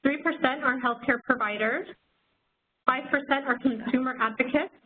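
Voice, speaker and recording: A woman on a webinar reading out poll results in an even, list-like way. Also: sits high, moderate pace. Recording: tinny phone line, faint noise.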